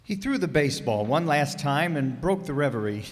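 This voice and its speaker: A man's voice: a little high, lively intonation, normal speed. A man narrating a live radio play in a dramatic, literary storytelling style. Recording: echoey hall, music behind.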